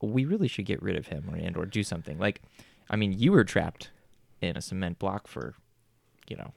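Gravelly young man, lively and chatty. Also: low voice, brisk, dry recording, studio quality. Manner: casual, chatty, with frequent restarts and laughs. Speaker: player. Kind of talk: in-character tabletop roleplay.

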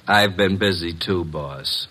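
A man's voice: low-pitched, takes it slowly, pushed and bright, breathy edge, full character voice. A man playing a cocky, sarcastic detective's legman in an old-time radio drama. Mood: weary, wry. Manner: sarcastic, breezy wisecracks. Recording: slightly noisy old radio broadcast.

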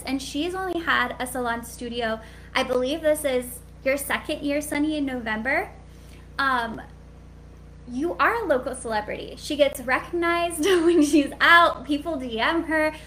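A woman's voice: sits high, fairly bright tone, a little hiss or hum. A woman with a sweet voice giving a live coaching talk to hairstylists, candid and chatty with lots of "like" fillers.

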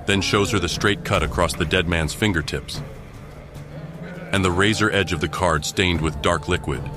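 A man narrating a scripted audio drama in a measured, dramatic storytelling manner. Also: sits low. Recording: loud music behind.

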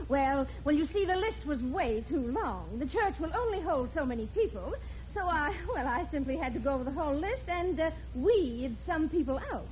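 A woman's voice: high-pitched, lively intonation, moderate pace, a little mellow. An actress playing a snobbish, domineering middle-aged society mother in an old radio comedy, haughty and affected. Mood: condescending. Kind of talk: 1940s radio comedy acting. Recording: muffled, noisy old radio broadcast.

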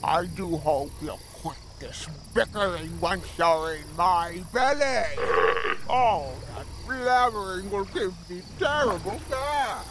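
Slow, gloating, hungry selkie monster. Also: female voice, fairly low pitch, animated, noisy background. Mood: impatient, menacing. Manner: slow, savoring, taunting delivery. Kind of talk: audio fantasy comedy acting.

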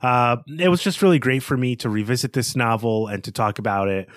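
A man co-hosts a literary podcast in a mid-pitched voice that swings up and down a lot. He muses about the book and trails off into quieter asides. The recording is dry and close. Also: expressive, brisk, no room sound. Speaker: podcast co-host. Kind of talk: casual book discussion.

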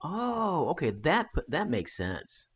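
A man as a podcast guest, chatting casually in a measured, thoughtful manner. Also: fairly high pitch, huge pitch swings, husky. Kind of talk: casual chat.

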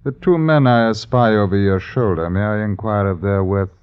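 An actor playing a stern sheriff of old London Town in a radio drama, questioning in formal, archaic phrases. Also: male voice, on the low side, moderate pace, smooth, natural voice. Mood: stern, probing. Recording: dull, noisy vintage radio recording.

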